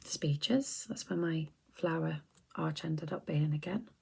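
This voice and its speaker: A middle-aged woman, chatting softly and rambling on a close mic for an ASMR video, often slipping into whispers. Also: low voice, takes it slowly, vocal fry, a little hiss or hum. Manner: soft-spoken, drifting into whispers, chatty and rambling. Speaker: ASMR creator. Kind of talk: soft-spoken ASMR chat.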